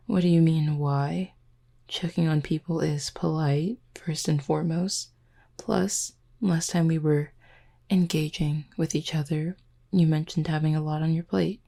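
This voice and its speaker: A woman acts out a flirtatious werewolf pack beta in an intimate audio drama. Her voice sits low and dark, with plenty of gravelly fry. She talks in a confident, teasing way, as if to one person. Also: fairly even intonation, unhurried, heavily acted. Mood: teasing, caring. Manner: teasing, flirtatious, smooth-talking.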